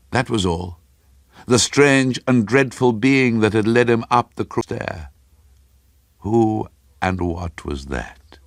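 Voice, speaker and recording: A man reading a horror story for radio drama in a grave, suspenseful manner with deliberate, dramatic phrasing. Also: sits low, dry recording, studio quality.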